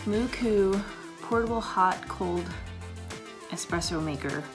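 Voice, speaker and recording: A woman with a slightly raspy voice chatting casually to camera in her kitchen, rambling with lots of fillers. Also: medium pitch, takes it slowly, over music. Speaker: vlogger, paramedic. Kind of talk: casual coffee chat to camera. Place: home kitchen, indoors.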